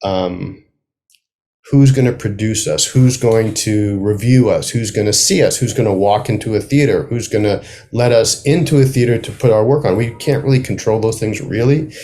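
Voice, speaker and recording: A middle-aged man speaking reflectively as a guest playwright in an interview, thoughtful and halting, often circling back to rephrase. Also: lowish voice, mellow.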